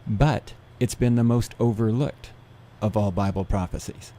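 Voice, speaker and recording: Mellow man explaining steadily. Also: lowish voice, steady tone, unhurried. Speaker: seminar speaker. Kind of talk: radio interview guest explaining prophecy.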